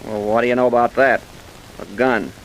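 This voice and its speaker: An actor playing a gruff, suspicious American detective chief, blunt and hard-boiled, in an old-time radio crime drama. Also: male voice, mid-range voice, level tone, fairly quick, slight background noise, natural voice, poor audio. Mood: gruff, accusing.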